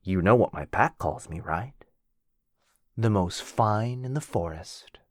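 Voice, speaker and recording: A man's voice: lowish voice, expressive, takes it slowly, dry recording, clean studio sound. A man playing a cocky, teasing werewolf. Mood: teasing flirty.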